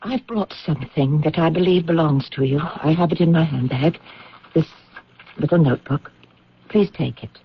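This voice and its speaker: A woman's voice: sits low, moderate pace, husky. An actress playing an anxious widow in a radio drama, earnest and hesitant as she speaks. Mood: polite. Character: anxious British widow confessing a secret to officials. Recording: dull, muffled old radio broadcast.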